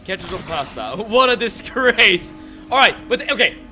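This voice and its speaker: High, bright-voiced streamer man. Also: very animated, moderate pace, soft background music. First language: Swedish. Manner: chatty, stammers and repeats words, laughs often. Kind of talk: casual chat while playing a game.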